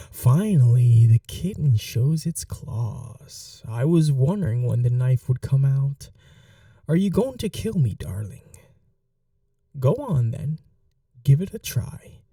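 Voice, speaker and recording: A man playing a possessive, sadistic vampire lord in an ASMR roleplay, courtly, theatrical and taunting, with breathy drops into whispers. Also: medium pitch, warm tone, dry recording, studio quality, recorded from a distance, full character voice. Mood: mocking, daring. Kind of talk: dominant ASMR roleplay acting.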